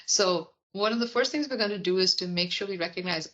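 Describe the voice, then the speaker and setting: A woman from India with a softened Indian English accent, a guest on a podcast interview, explaining at length and acting out quotes with animation. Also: fairly low pitch, a little fast, dull audio. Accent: Indian English, softened toward American. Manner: animated storyteller, acts out quotes. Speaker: product leader guest.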